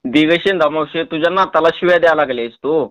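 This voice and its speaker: Male, high voice, rural Marathi accent, teasing prank caller, playful and pestering, dry sound. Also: quick pace, fairly bright tone, no room sound. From Tuljapur, Maharashtra, India. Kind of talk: teasing prank phone call chat. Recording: close, dry phone call recording.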